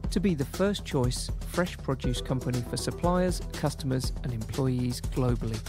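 A man reading a scripted introduction as a radio presenter, smooth and read aloud. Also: medium pitch, normal speed. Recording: music bed behind the voice.

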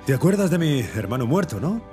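An actor playing a confident, quick-thinking male adventurer and thief in a game cinematic, with a casual, conversational delivery. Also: medium pitch, big pitch swings, over music, natural voice. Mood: light. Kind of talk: game cinematic acting, Spanish dub.

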